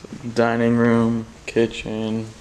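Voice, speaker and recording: A man's voice: mid-range voice, completely flat delivery, takes it slowly. A young man filming an urban exploration vlog, chatting as he guesses what each bare room was, sometimes dropping his voice when nervous. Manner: chatty, guessing aloud at rooms, drops to hushed tones when nervous. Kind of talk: casual exploring commentary. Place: empty abandoned house, hard bare rooms. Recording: echoey empty rooms, loud noise.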